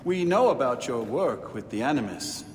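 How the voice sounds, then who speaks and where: An actor playing a controlled, knowing, menacing modern-day assassin leader in a game cutscene. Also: male voice, mid-range voice, very animated, moderate pace, close to an everyday voice. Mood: cool menace. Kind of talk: dramatic game cutscene acting. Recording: long reverb, clear background noise.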